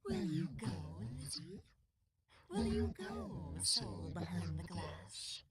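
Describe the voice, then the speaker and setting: A woman's voice: fairly high pitch, huge pitch swings, a loud crowd, full character voice. A woman voicing an eerie, seductive otherworldly messenger in a horror game, cryptic and often half-whispered, over a busy din. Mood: ominous, coaxing. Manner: slow, breathy half-whispered cryptic delivery.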